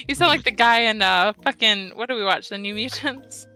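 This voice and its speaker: A woman's voice: a little high, normal speed, bright, forceful tone, soft background music, poor audio. A woman chatting casually on a livestream, inquisitive and blunt in her questions. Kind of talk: casual chat.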